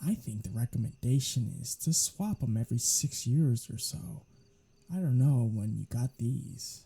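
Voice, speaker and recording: Snarky vampire for ASMR roleplay performer acting, very breathy and mellow, unhurried but lively in pitch, casually menacing and teasing. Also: full character voice. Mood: dry, bantering. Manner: sardonic, casual, swearing, teasing. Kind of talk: soft-spoken ASMR audio roleplay.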